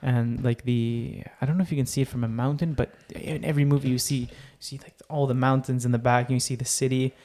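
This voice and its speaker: A man's voice: medium pitch, fairly even intonation, fast talker, hardly any pauses, mellow. A young man from Israel, chatting casually as a podcast co-host, eager and fond of repeating words for emphasis. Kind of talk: casual chat.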